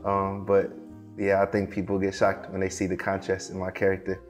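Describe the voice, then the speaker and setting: A young man from Morocco, raised in Seattle, talks in a low, even voice that barely rises or falls. He answers softly and haltingly, restarting his sentences. Faint music sits under the studio interview. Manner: halting, many fillers and restarts, soft-spoken. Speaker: guest, performing artist. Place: dark studio set.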